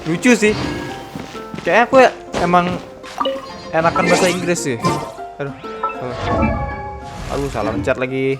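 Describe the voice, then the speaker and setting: A man's voice: a little high, animated, fairly quick, pauses often. A young man with an Indonesian accent giving casual, slangy, rambling gameplay commentary. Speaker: gaming YouTuber. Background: game music.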